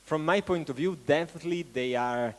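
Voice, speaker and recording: A man hosting an event, giving a halting welcome speech to an audience and often restarting his phrases. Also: a little high. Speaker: event host.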